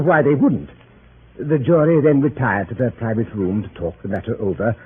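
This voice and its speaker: An actor playing a club member who narrates a 1930s radio drama in a formal, wry manner. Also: male voice, mid-range voice, narrow, tinny audio, natural voice. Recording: thin noisy 1930s radio broadcast.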